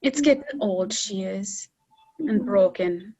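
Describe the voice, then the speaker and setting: A woman's voice: medium pitch, expressive, slow pace, mellow, husky, heavily acted, poor audio. A woman playing a sorrowful, tender and practical young village daughter in a stage drama. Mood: subdued sorrow.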